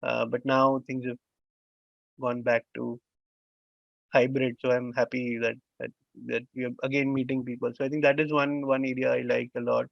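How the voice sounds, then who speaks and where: A man hosting an interview who speaks haltingly, repeats words, and uses many "uh" fillers. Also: medium pitch, level tone, vocal fry, no echo. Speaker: interview host. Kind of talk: conversational interview. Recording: dull, lo-fi sound.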